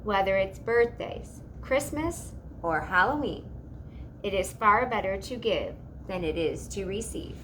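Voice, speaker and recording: A woman's voice: mid-range voice, animated, a little slow, a bit bright, a little hiss or hum, low-quality recording. A woman voicing an eccentric, grand and mysterious great-aunt, theatrical and coy, in a storybook reading.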